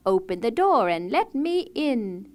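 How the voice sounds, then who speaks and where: A woman voicing a tiny bearded male dwarf, chanting his rhyme slowly and loudly at a raised pitch. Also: sing-song.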